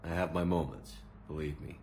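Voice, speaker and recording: An actor playing a firm, steady military commander in a video game, terse and controlled. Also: male voice, extremely low pitch, brisk, a little hiss or hum. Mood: steady, supportive. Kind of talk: dramatic video game dialogue.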